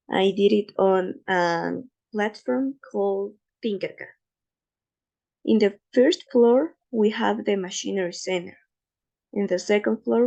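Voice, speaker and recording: Gravelly-voiced woman presenting slides. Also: mid-range voice, mellow. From Ecuador. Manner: careful, slightly halting non-native English with fillers. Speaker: student presenter.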